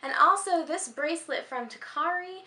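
High, bright American woman, slightly hoarse. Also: normal speed. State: slightly hoarse, losing voice from a cold. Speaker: beauty and handbag vlogger. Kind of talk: casual, chatty vlog talk to camera. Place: small furnished room at home. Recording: roomy indoor echo.

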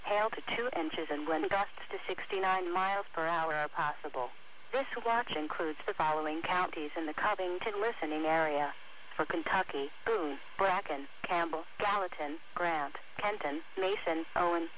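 A woman's voice: lowish voice, fairly bright tone. A synthetic woman's voice reading a scripted weather bulletin as an automated announcer over the radio. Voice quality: synthetic text-to-speech voice. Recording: noisy weather radio broadcast recording.

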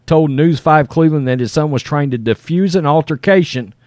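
A man's voice: on the high side, a little fast, a little mellow, no room sound, clean studio sound. A middle-aged American man hosting an online show, reading a news article aloud and adding casual off-the-cuff commentary.

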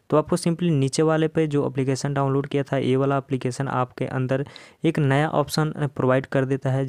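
A man's voice: mid-range voice, darkish tone, no echo. A man with an Indian accent giving a casual, step-by-step phone tutorial, addressing viewers directly as he instructs. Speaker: tech tutorial host.

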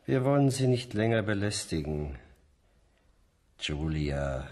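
An actor in a German radio play playing a research scientist who questions formally and politely. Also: male voice, low voice, big pitch swings, takes it slowly, a little mellow, heavily acted. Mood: polite, coaxing. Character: male research scientist, probing, polite and businesslike.

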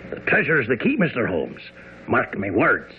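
An actor playing a smug, jovial Scotland Yard inspector in a radio drama. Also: male voice, mid-range voice, wildly swooping pitch, normal speed, slight background noise. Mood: cocksure, skeptical. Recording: tinny band-limited radio broadcast.

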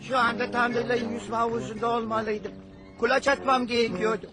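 A man's voice: very high-pitched, flat delivery, a little fast, over loud music. A man playing a lazy, wisecracking schoolboy in a dubbed cartoon, wry and a little whiny.

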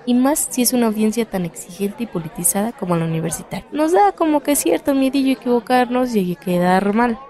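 A woman's voice: on the high side, lively intonation, a little fast, hardly any pauses, warm tone, lightly acted. A woman playing a determined, encouraging activist leader in a radio soap, firm and colloquial, with a Mexican accent. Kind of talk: radio soap opera acting.

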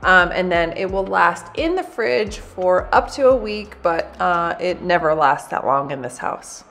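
A woman in her thirties, a cooking channel host, chatting brightly through a recipe in a home kitchen. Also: on the low side, expressive, unhurried, soft background music. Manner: bubbly, chatty, addresses viewers as "you guys". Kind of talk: recipe demonstration, voice-over and to camera.

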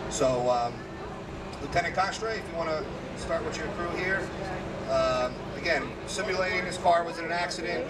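Loud fire captain over outdoor PA. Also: male voice, a little high. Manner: loud, strong voice with many fillers. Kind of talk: live demonstration commentary. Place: outdoor parking lot. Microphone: handheld microphone to a PA. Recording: distant PA voice, loud outdoor noise.

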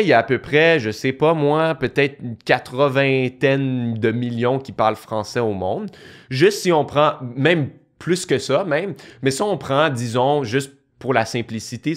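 A young man with a Québécois French accent, explaining in a casual talking-head video from a small home studio, lively and gesturing, restarting phrases as he thinks. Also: medium pitch. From Quebec. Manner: animated, gestures a lot, thinks aloud with restarts. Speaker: online course creator. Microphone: studio mic on boom arm beside him.

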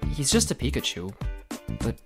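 A glum, wary young jackal creature from a cartoon , acted by a woman, with a very deep voice that still moves around a lot. The delivery is reserved and grudging, over clear background music. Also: huge pitch swings, normal speed, full character voice. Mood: uneasy. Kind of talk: animated comic dub acting.

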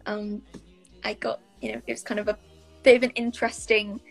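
A woman's voice: mid-range voice, very animated, a little fast, soft background music, low-quality recording. A young woman from South Wales with a Welsh accent, answering interview questions casually over a video call, thoughtful and full of fillers. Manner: thoughtful, many fillers and hedges. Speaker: actress guest. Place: small bright room indoors. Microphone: laptop webcam on a video call.

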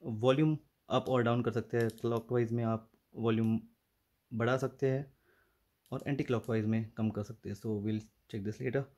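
A man's voice: medium pitch, fairly even intonation, normal speed, darkish tone. A man with an Indian accent giving a casual hands-on walkthrough as a vlogger, switching between two languages and thinking aloud. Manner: mixes two languages, thinking aloud while handling items. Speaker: vlogger presenting a product. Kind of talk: casual unboxing and installation walkthrough.

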